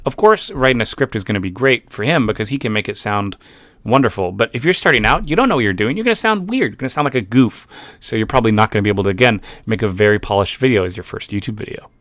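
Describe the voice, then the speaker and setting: Skeptical low-voiced man rambling. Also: lively intonation, fast, a bit bright, no echo. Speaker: YouTube commentator. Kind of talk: casual reaction commentary.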